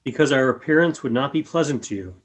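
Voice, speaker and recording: A woman in a radio play voicing a solemn, courteous alien who speaks in a measured, formal way through a robot. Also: very deep voice, animated, breathy edge, heavily acted. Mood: calm, formal.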